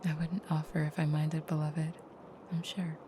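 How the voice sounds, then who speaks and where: A woman playing a tender, soft-spoken vampire girlfriend in an intimate audio roleplay, with a breathy near-whisper and gentle, slightly formal phrasing. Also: deep voice, level tone, moderate pace, noisy background, natural voice. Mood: tender, soothing.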